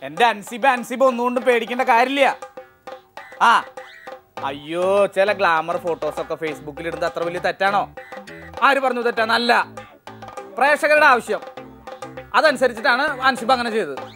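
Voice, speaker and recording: A woman's voice: a little high, animated, moderate pace, pauses often, a bit bright, faint music behind. A woman playing a blustering, case-hungry lawyer in a television comedy sketch, hesitating and repeating. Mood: indignant, boastful. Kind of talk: comic gossip sketch acting on television.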